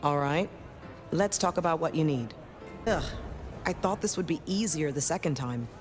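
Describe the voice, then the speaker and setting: A woman's voice: low voice, lively intonation, moderate pace, faint music behind. An actress voicing a tough, cocky female vampire enforcer in a video game, brash and streetwise. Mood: grudging.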